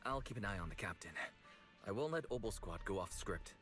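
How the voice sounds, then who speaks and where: A man's voice: on the low side, lively intonation, brisk, a bit bright, faint music behind. A man playing a dutiful, analytical soldier in a video game, speaking in an orderly way. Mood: businesslike.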